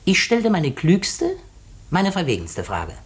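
An actor playing a shrewd, self-assured detective in a 1950s German radio mystery, deliberate, theatrical and teasingly courteous. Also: male voice, sits high, wildly swooping pitch, full character voice. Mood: deliberate, dramatic.

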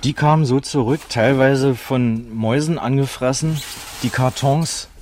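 A man's voice: medium pitch, measured pace, a little mellow, slight background noise. A man showing someone around an archive, talking informally and explaining as he goes.

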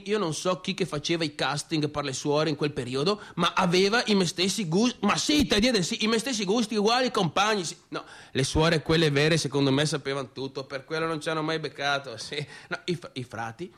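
Cocky, high-voiced young northeastern Italian man. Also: fairly bright tone. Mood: cocky, amused. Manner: swaggering, slangy, repeats phrases for emphasis. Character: cocky, crude young provincial Italian man, volatile storyteller. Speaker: stage actor. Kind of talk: theatrical monologue, colloquial storytelling.

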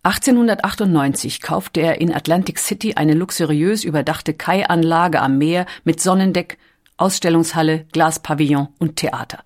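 A woman's voice: sits low, moderate pace. A woman reads scripted documentary narration as the narrator.

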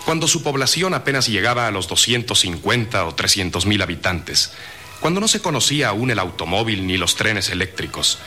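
Deep, very bright, forceful radio narrator. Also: male voice. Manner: solemn, declamatory, measured phrasing. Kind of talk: dramatic scripted radio serial narration.

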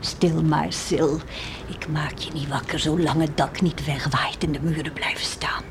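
An anxious, pious country mother in an old radio drama. She speaks slowly and emotively in a hushed, tender way, with faint noise on the recording. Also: low-pitched, steady tone. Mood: hushed, troubled. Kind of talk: radio play acting.

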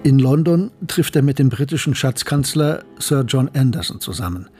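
Documentary narrator, rough-edged husky voice, medium pitch, sober, measured scripted history telling, radio play. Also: male voice, animated, warm tone, soft background music. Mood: measured, factual. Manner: measured, factual.

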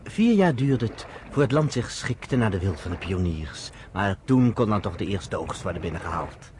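An actor playing a storyteller, narrating a radio drama in scripted prose. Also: male voice, lowish voice, sing-song, moderate pace, darkish tone, slight background noise. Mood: measured narration. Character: male storyteller recounting history to a woman listener.

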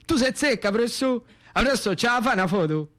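Mocking teenage pupil, played by a man, Roman accent, high voice, insolent and slangy, radio monologue. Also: lively intonation, a little fast, a bit bright. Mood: taunting. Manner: cheeky, taunting questions.

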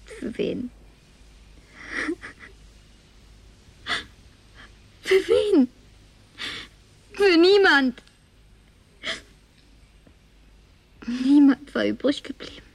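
An actress playing a tipsy, flirtatious, lonely woman survivor in a radio drama, coquettish and slipping into breathy whispers. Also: high-pitched, a little mellow, no room sound, exaggerated voice. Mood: desperate, pleading. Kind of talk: German radio drama acting.

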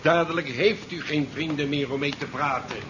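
An actor playing a curt, sardonic ship commander in a 1970s science fiction radio play. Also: male voice, fairly high pitch, normal speed, natural voice. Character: curt, sardonic male ship commander worried about career. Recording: muffled 1970s radio drama recording, faint noise.

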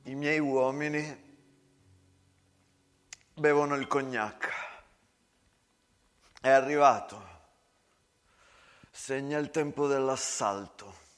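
A man narrating a dramatic war story as a stage storyteller, measured, in short phrases parted by long pauses. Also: mid-range voice, steady tone, fairly bright tone. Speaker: actor and storyteller. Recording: long hall reverb with guitar music. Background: guitar music.

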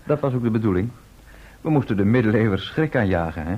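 A man's voice: lowish voice, animated, fast. An actor playing an unruffled man from the future, explaining matter-of-factly in a Dutch radio drama. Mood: calm, explaining. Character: unruffled male time traveller from the future. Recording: muffled, noisy old radio play recording.

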